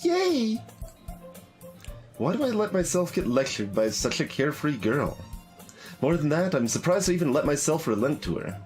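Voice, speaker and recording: Male let's play streamer, lively mid-pitched delivery, wry and halting, reading on-screen story with music behind. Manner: hesitant, wry. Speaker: video game streamer. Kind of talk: casual let's play, reading game text aloud.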